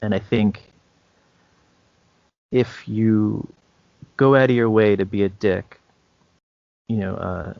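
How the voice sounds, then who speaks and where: A man co-hosting a podcast about tabletop games, talking casually in a halting, conversational way with a gravelly voice. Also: on the low side, no room sound, muffled recording. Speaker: podcast co-host and game master.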